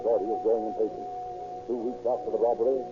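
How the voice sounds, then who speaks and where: A man playing the solemn narrator of an old radio crime drama, measured and dramatic in his delivery. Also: on the low side, little pitch movement, natural voice. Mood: grave, suspenseful. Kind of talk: 1940s radio scripted narration. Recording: tinny old radio recording, music behind.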